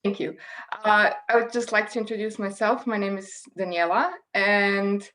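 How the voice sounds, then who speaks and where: A woman whose first language is Croatian, a German and English teacher introducing herself and presenting a unit at a workshop, in a friendly, slightly halting way. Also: medium pitch, little pitch movement, a bit bright, low-quality recording.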